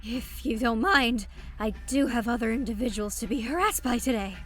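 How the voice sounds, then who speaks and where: A woman's voice: on the high side, normal speed, soft background music, natural voice. A woman voicing a cheeky, verbose, manic male rogue, theatrical and flippant, in a comic fan dub. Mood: sly.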